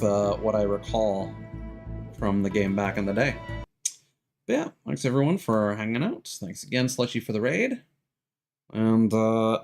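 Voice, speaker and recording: A man casually commentating on his video game livestream in a dry, mildly sardonic way. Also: on the low side. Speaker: video game live streamer.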